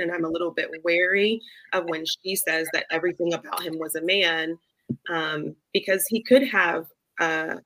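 Woman guest talking it through, lowish pitch, bright tone. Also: poor audio. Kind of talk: casual discussion.

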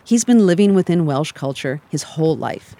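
An American woman giving scripted travel narration in a calm, reflective storytelling manner. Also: deep voice, moderate pace. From United States.

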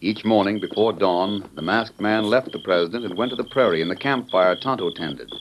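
An actor narrating a western adventure serial as a solemn storyteller, with the formal dramatic delivery of old-time radio. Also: male voice, on the low side, fairly quick, background noise, close to an everyday voice. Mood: dramatic, suspenseful. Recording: muffled vintage radio recording.

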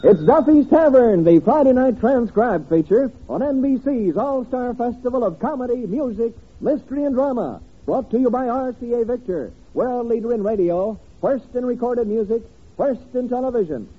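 High, husky male announcer on tinny old radio. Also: lively intonation, moderate pace. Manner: emphatic, formal sales delivery. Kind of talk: 1950s radio announcing and ad reading. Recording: tinny, band-limited old radio broadcast.

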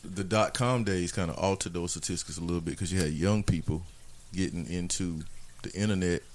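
Deep-voiced man in his forties. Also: darkish tone. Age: 40s. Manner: measured, advice-giving. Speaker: guest entrepreneur. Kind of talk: casual chat.

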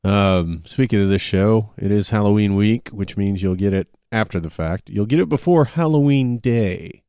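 A man's voice: on the low side, moderate pace, warm tone, smooth, no echo, clean studio sound. A man co-hosting a casual chat, talking informally with the odd swear word. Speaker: podcast co-host.

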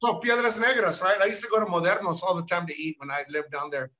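A man holds forth on a podcast. His voice is high for a man and bright, almost sharp. He talks with emphatic energy and slips into Spanish. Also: fast. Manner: animated, emphatic, mixes Spanish into English, lots of "you know". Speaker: podcast host. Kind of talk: casual podcast chat.

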